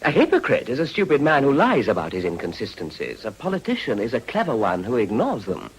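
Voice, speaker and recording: Casting: urbane gentleman politician, upper-class English, dry and teasing, mid-pitched with a swooping melody. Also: wildly swooping pitch, a little fast, slightly rough, heavily acted. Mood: dry, sardonic. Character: witty, idle, sardonic gentleman politician. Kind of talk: British period radio drama acting.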